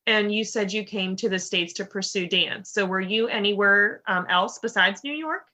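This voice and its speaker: A woman's voice: mid-range voice, normal speed, pushed and bright. A woman hosting a casual podcast interview, upbeat and chatty, with plenty of fillers and "like". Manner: upbeat, lots of fillers and 'like'. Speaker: podcast host.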